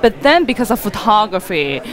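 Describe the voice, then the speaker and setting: A woman's voice: mid-range voice, big pitch swings, moderate pace, fairly bright tone. Chatty woman giving rambling interview answers. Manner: rambling and chatty, frequent "like" as filler. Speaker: wedding photographer being interviewed.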